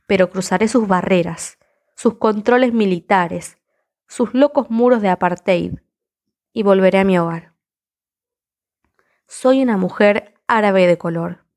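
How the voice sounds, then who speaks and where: A woman's voice: mid-range voice, darkish tone. A woman reciting spoken word poetry in a defiant, impassioned, rhythmic delivery.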